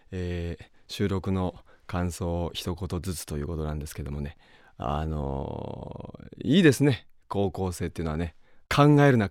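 Man, very low pitch, rambling, reflective, unscripted cast comment, dry recording. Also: darkish tone, no echo. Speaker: voice actor giving cast comment.

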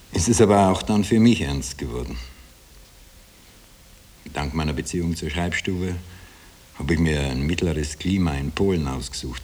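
A man's voice: low-pitched, a little mellow, slight background noise, close to an everyday voice. An actor narrating a radio drama as a wry Austrian everyman, confiding his story with self-deprecating irony. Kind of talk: first-person narration in 1960 radio drama.

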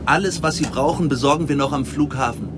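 An actor playing a flustered, apologetic official in a radio drama, speaking politely and a little hesitantly. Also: male voice, medium pitch, fast talker, noisy background, lightly acted. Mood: brisk, formal. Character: apologetic, flustered male official escorting an old woman. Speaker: supporting character.

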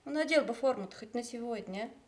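A woman's voice: fairly high pitch, quick pace, lightly acted. A woman acting an anxious, affectionate mother who coaxes her family, in a Soviet radio play. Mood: anxious, coaxing.

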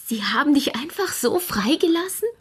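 An actress playing a worried, forgiving young daughter in a radio drama, with a soft, breathy voice. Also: female voice, high-pitched, very animated, normal speed. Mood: anxious questioning.

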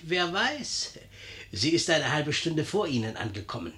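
An actor in a 1950s German radio comedy playing a servile, sly innkeeper who flatters with gentle irony and stays cheerfully unflappable. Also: male voice, fairly high pitch, a little slow, exaggerated voice. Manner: deferential, flattering, gently ironic.

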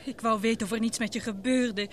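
A woman's voice: on the high side, fairly even intonation, brisk, lightly acted. A woman acting in a Dutch radio drama as a frightened, loyal companion, with faltering, dramatic delivery. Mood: uneasy. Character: frightened, loyal woman following her beloved into danger. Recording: noisy old radio play, loud background ambience.

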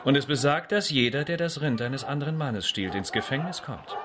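A man's voice: medium pitch, expressive, fairly quick, noisy background, close to an everyday voice. An actor playing a menacing colonial policeman in a radio drama, mock-polite and taunting. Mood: smugly threatening.

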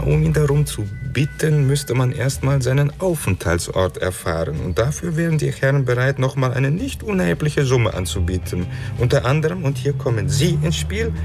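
A man acting a smooth underworld middleman in a radio drama. His pitch is middling, and he speaks with formal politeness and circling, hesitant asides over faint music. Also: close to an everyday voice. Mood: smooth, insinuating. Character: suave, courteous male go-between for shady creditors.

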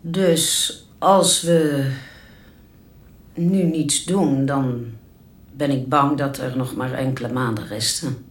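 Slow, measured hospital specialist, played by a male actor. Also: medium pitch, fairly even intonation, a little hiss or hum, natural voice. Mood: measured, grave. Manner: measured, formal. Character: measured, matter-of-fact hospital specialist, formal, mildly detached. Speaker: doctor. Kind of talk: radio drama acting. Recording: echoey room with loud music. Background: loud music.

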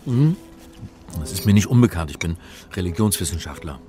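A man's voice: deep voice, animated, a little fast, warm tone, soft background music. An actor playing a flustered, wry male scholar in trouble, in a radio drama with first-person narration, sounding hesitant and sardonic. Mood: wry, guarded.

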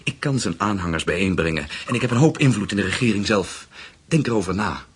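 A man's voice: fairly low pitch, expressive, fairly quick, slight background noise, close to an everyday voice. An actor in a Dutch radio play voicing an earnest, courtly, ambitious businessman with political aims. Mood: hopeful.

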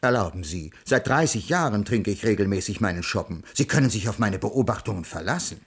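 An actor playing a pedantic, earnest middle-aged ethics teacher with formal, stilted diction in a radio crime play. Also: male voice, fairly low pitch, expressive, fairly quick, slightly rough. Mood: earnest, dignified. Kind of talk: 1980s German radio crime play acting.

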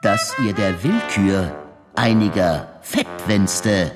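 An indignant agitator, proclaims to the oppressed with extremely slow, drawn-out words. His pitch swoops widely in theatrical declamation. The voice rings in a long reverb as part of an experimental radio play. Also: animated. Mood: indignant, exhorting. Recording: long hall reverb, loud music. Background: loud trombone and brass music.

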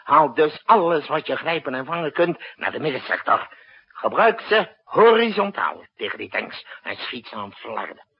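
An actor playing a brisk, commanding president who gives curt military orders in a 1960s Dutch radio drama. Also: male voice, fairly high pitch, very animated, full character voice. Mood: confident. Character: commanding, brisk male president directing a night-time military crisis. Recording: muffled, husky-sounding old radio broadcast recording.

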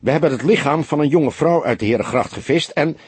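Man voicing a nervous young detective, very husky. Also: fairly high pitch, fairly quick, no room sound, pitched above the performer's own voice. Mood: nervous, pleading. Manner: hesitant, stammering, apologetic. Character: young, nervous, pleading male police detective, in awe of his mentor.

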